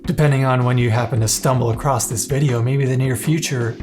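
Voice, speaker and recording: A man narrating a product review in a scripted, upbeat way, playful and openly excited. His pitch sits in an ordinary middle range. Soft music plays faintly underneath. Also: brisk. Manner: playful, enthusiastic.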